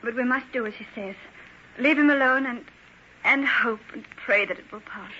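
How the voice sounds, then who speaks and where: A woman's voice: on the high side, moderate pace, bright, forceful tone. An actress playing a genteel, devoted young lady, earnest and hesitant, in an old-time radio drama. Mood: troubled, restrained. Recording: dull, noisy old radio recording.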